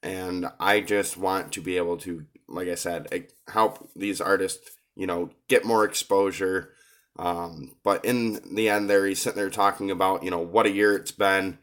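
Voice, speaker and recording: A man hosting a reaction video, coming down with a cold and talking hesitantly with many pauses. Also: sits low, fairly even intonation, fairly bright tone. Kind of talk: casual commentary on a rap song.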